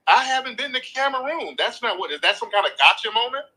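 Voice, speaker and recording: A combative man hosts a live call-in show, lecturing hard and cutting callers off. His voice is bright and edgy with a husky grain. His pitch is a little high and swings widely as he talks. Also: expressive, quick pace. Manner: combative, lecturing, repeats points; swears freely, talks over the caller. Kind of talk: heated live call-in argument.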